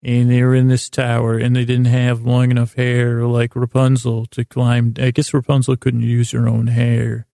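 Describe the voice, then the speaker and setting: A man with a creaky, gravelly voice hosting a sleep podcast, rambling in a halting, self-deprecating monologue that keeps losing its thread. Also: medium pitch, monotone, mellow, dry recording, clean studio sound. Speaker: podcast host.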